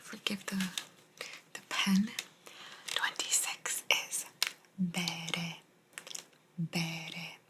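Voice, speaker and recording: A woman giving a language lesson in a soft, breathy ASMR whisper, gently repeating words. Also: fairly low pitch, little pitch movement. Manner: slow, gentle repetition of words. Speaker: host teaching Italian vocabulary.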